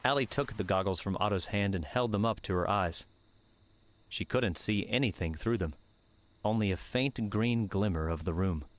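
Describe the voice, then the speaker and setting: A man narrating an audiobook in a measured, steady storytelling manner. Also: lowish voice. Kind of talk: scripted narration. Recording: music bed under the voice.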